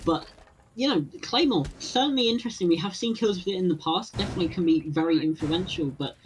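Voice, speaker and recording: An esports caster giving play-by-play and measured analysis of a tactical shooter match, with dry humor. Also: mid-range voice. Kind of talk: play-by-play commentary. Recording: broadcast with noisy game audio.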